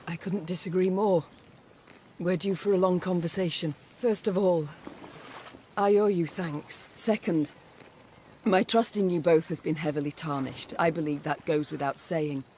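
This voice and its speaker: A woman's voice: lowish voice, steady tone, unhurried, a little mellow, a little hiss or hum, lightly acted. An actress playing a proud, blunt warrior queen in a video game, speaking in a formal, commanding way. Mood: stern. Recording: music behind the voice.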